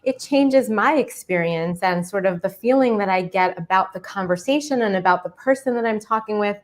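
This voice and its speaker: Woman host, casual friendly interviewer, halting with fillers. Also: mid-range voice. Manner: friendly, hesitant, many fillers. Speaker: podcast host.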